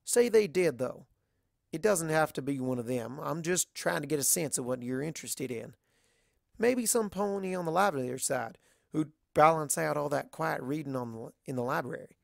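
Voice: mid-range voice, expressive, moderate pace, creaky, no room sound, clean studio sound. A narrator voicing a frank, pushy but affectionate mare pony with a blunt, folksy, coaxing manner in a storybook reading.

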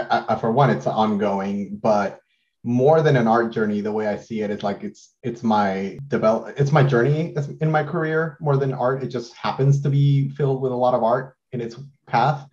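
A man's voice: mid-range voice, dull audio. A man in his thirties from Mexico, chatting casually as an interview guest over a video call, with lots of fillers and restarts. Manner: relaxed, halting, many fillers and restarts. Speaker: guest artist.

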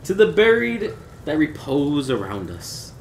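A man voicing a drunken, proud nobleman in a gothic storybook, loud and exclamatory. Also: a little high, huge pitch swings, measured pace, gravelly, echoey room, a little hiss or hum, pitched above the performer's own voice, heavily acted. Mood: tipsy. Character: drunken, proud, coughing Italian nobleman in jester motley. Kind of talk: dramatic gothic audiobook reading.

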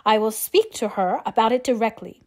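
A woman's voice: on the high side, lively intonation, a little fast, a little mellow. A woman voicing a flustered, pleading middle-aged mother in an audiobook, agitated, exclamatory and insistent. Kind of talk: audiobook character acting.

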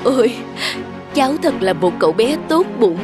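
Lady doing a homeless drifter guy in a cartoon, kinda high voice, sheepish n kind, music behind. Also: big pitch swings, normal speed. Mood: regretful, surprised. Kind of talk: cartoon voice acting.

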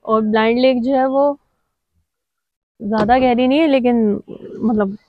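A woman's voice: fairly high pitch, fairly even intonation, a little slow, no room sound, highs cut off. Chatty woman vlogging outdoors by a lake. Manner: chatty, mixes English phrases into her talk. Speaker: travel vlogger. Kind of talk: casual travel vlog narration.

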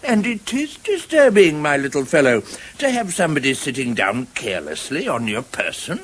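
A ponderous old British badger, muttering and solemn, in a vintage radio play. His fairly high voice swoops up and down through pompous phrases. There is faint noise behind him. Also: big pitch swings, normal speed, fairly bright tone. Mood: indignant, pompous. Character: grumpy, solemn older badger, fond of Rat. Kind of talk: 1970s BBC radio drama acting.

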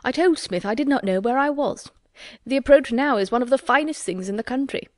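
A woman voicing an eager, rambling young gentleman who gushes emphatically. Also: sits high, a little fast, no echo, clean studio sound, voice pushed up, close to an everyday voice. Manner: gushing, emphatic.

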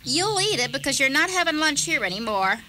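A woman playing a sharp-tongued, fed-up housewife and mother in a radio story, tart and scolding. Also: high voice, very animated, fairly quick, noisy background. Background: music.

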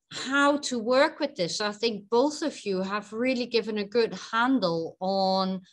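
A woman's voice: medium pitch, fairly bright tone. A middle-aged woman chairing an academic session over a video call from an office, thinking aloud with hesitant restarts. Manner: reflective, hesitant with fillers and restarts. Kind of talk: academic online discussion. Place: office with a whiteboard. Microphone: webcam on a video call.

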